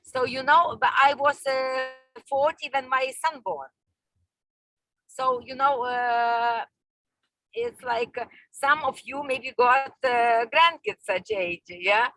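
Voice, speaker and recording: A woman in her sixties with a Lithuanian accent speaks slowly in a high voice, searching for her English words with many fillers. Her tone is bright, and the call sound is dry. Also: no echo, rough recording. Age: 60s. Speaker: guest. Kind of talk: online meeting talk. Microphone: video call.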